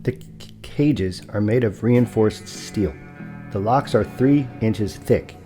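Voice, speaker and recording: An actor playing a meek, courteous, big property broker who stammers on his consonants and speaks in a formal, halting way in an audio drama. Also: fairly low pitch, mellow, faint music behind. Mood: apologetic, nervous. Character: large muscular male property broker, meek, anxious, courteous.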